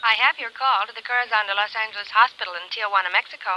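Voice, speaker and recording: An actress playing a brisk, clipped telephone operator in a 1950s radio drama. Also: female voice, mid-range voice, muffled recording, lightly acted. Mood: businesslike.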